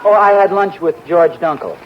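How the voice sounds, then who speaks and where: A man's voice: sits high, lively intonation, normal speed, slight background noise. An actor playing a distracted young husband who mumbles answers to his wife's questions, in 1940s radio sitcom style. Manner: absent-minded, mumbling then exasperated. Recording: dull lo-fi 1940s radio recording.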